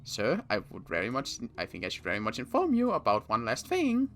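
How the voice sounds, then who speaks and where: A man's voice: mid-range voice, wildly swooping pitch, fairly quick, a bit bright, a little hiss or hum. A man playing a firm subordinate soldier in an improvised Minecraft zombie roleplay, formal and deferential yet insistent. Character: firm, outspoken male subordinate survivor soldier.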